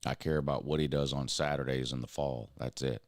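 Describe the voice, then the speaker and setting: A man with a drawl and a very deep voice, worn raspy and hoarse. He rants bluntly and swears freely as a show host. The sound is dry and close. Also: fairly even intonation, a little fast, no room sound. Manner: blunt and profane, ranting. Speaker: show host reading chat. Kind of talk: casual sports rant.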